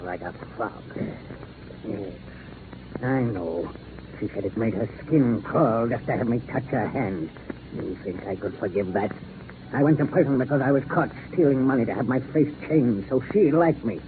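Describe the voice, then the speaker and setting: An actor playing a squat, frog-faced gangster villain, obsessed and vengeful, who talks with gloating, deliberate menace in 1940s radio style. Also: male voice, medium pitch, animated, close to an everyday voice. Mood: bitter, menacing. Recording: noisy narrow-band 1940s radio recording.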